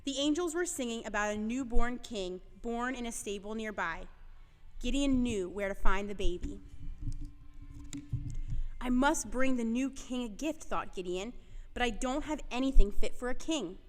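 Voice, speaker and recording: A woman's voice: a little high, moderate pace. Woman narrating a children's story with reverb.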